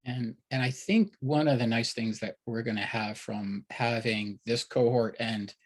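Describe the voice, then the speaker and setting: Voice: mid-range voice, steady tone, measured pace. Agreeable speaker in casual panel chat.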